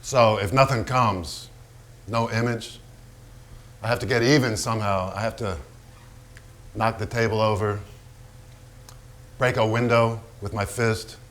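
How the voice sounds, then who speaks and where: A man's voice: on the low side, fairly bright tone. A man playing a volatile, foul-mouthed, hard-drinking painter in a theatrical staged reading, blunt and brooding. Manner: blunt, profane, brooding, given to repetition.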